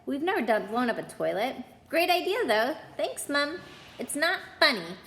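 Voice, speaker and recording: A cheeky teenage lad voiced by a woman storyteller, excitable and teasing. The voice is high and swoops around with lively, storybook energy. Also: normal speed, heavily acted. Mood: mocking, cheeky. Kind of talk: storybook read-aloud character voice.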